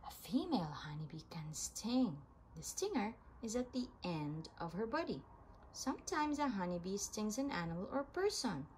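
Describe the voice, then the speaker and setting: A woman reading a children's nonfiction book aloud, phrasing it deliberately in short, separate chunks. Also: medium pitch, big pitch swings, a little mellow, slight background noise. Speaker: read-aloud reader of children's books.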